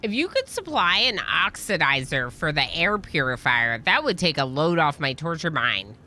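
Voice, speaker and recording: Woman doing a tired grumbly space colony engineer from a game, brooding, explainy, bright lowish voice. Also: very animated, normal speed, full character voice. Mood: weary, grumbling. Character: weary, overworked colony engineer from a sci-fi game. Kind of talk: reading game dialogue aloud.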